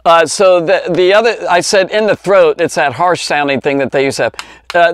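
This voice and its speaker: Higher-voiced American man teaching casually. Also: no room sound, studio quality. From Cincinnati, United States. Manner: rambling, conversational, with false starts and self-corrections. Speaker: Bible teacher. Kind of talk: informal Bible lesson for kids. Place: small indoor study lined with bookshelves. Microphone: lapel mic clipped at the collar.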